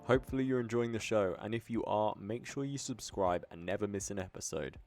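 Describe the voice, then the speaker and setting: A man's voice: lowish voice, fairly quick, darkish tone, faint music behind. A man hosting a podcast, speaking conversationally to his guests and listeners. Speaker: podcast host. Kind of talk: conversational interviewing and show promotion.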